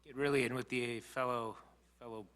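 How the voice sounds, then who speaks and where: A man's voice: medium pitch, fairly even intonation, normal speed, a bit bright. A man, a commission member, casually introducing himself at a meeting in a hesitant, informal manner. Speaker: commission member, small business owner. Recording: reverberant meeting room.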